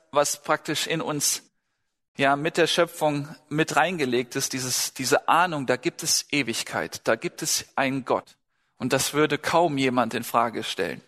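A man's voice: fairly high pitch, fairly bright tone. A man preaching a sermon in a conversational way, asking the congregation questions and telling personal stories. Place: church hall. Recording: long hall reverb.

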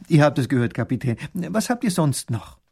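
A man's voice: a little high, very animated, fairly quick, vocal fry, darkish tone, slightly rough, exaggerated voice. A man playing a naive little grand duke. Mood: curious. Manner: stammering, wondering questions.

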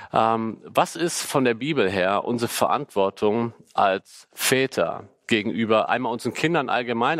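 A man's voice: lowish voice, dry recording. Male moderator, dry close sound. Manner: warm, encouraging, with short affirmations. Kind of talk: moderating a panel discussion.

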